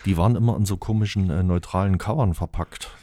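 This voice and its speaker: A man with a low, dark voice hosts a radio chart countdown. His talk is loose, gruff and jokey. The sound is close and dry. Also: fast talker, no room sound. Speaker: radio chart show host. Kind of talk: casual radio chat.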